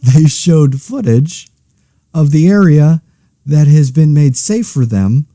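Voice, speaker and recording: Dark, mellow-voiced man in wry monologue. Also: fairly high pitch, takes it slowly, dry recording, studio quality. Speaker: host of a satirical fiction podcast. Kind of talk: casual, rambling monologue in mock news commentary.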